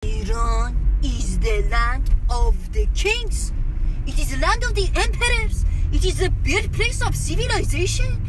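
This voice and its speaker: Proud Iranian man played by a woman, Persian accent, high declamatory comedy voice, noisy car recording. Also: far from the mic. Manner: grandiose, declamatory. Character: proud bombastic Iranian man, a patriotic super-fan. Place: inside a car.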